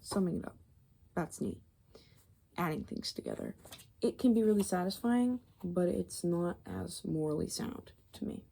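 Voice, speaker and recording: A woman doing soft, rambling ASMR, musing hesitantly as she thinks aloud, her voice fading toward whispers. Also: fairly low pitch, normal speed, creaky, a little hiss or hum, low-quality recording. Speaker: ASMR video creator.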